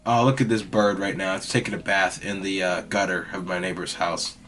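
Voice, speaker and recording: Young guy rambling thru his podcast, raspy, kinda monotone, like every other word. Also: sits low, fairly quick, a little hiss or hum. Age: young adult. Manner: rambling, heavy use of "like" and "you know"; mostly monotone delivery. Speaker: podcast host. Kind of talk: casual rambling monologue.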